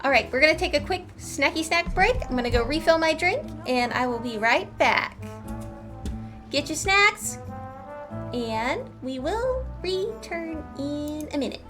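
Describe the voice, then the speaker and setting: A woman's voice: sits high, lively intonation, measured pace, over music. A woman game streamer giving chatty, informal live commentary on a story playthrough.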